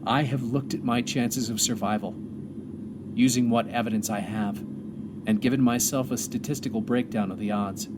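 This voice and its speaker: A man playing a stranded astronaut commander, reflective and hopeful, delivering a solemn, measured audio drama monologue with pauses. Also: mid-range voice, steady tone, background noise, lightly acted. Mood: reflective, resolute. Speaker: narrator and lead of an audio drama. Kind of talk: dramatic first-person monologue. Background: music.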